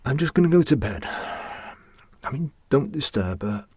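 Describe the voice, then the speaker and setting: Husky, ailing middle-aged man played by an actor. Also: mid-range voice, sing-song, darkish tone, dry recording. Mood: weakly insistent. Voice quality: sick, husky, coughing voice. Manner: halting, polite, weary. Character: ailing middle-aged office worker, polite, pleading family man. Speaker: actor in an audio drama. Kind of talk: naturalistic audio drama acting.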